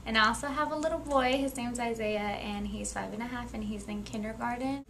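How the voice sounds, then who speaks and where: A woman's voice: on the high side, brisk, a bit bright, slight background noise. A 21-year-old woman speaking earnestly and plainly as a young mother sharing her story. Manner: earnest, plain-spoken, reflective. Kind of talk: candid personal testimony.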